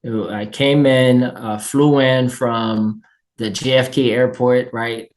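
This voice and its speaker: A man from Haiti with a Haitian accent, a guest telling his life story in an energetic, upbeat interview chat. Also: mid-range voice, takes it slowly. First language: Haitian Creole and French.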